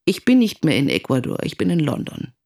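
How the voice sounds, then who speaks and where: A worried middle-aged mother in a radio play. Her voice is husky and full of creak, and it moves in lively swings as she candidly tells her own story. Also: sits low, fairly quick, full character voice. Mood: troubled. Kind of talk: dramatic first-person monologue.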